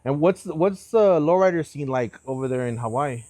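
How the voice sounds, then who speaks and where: A man's voice: on the high side, lively intonation, moderate pace, dark tone, dry recording. A man hosting a casual, friendly interview, talking in an informal, slangy way. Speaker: host, interviewer.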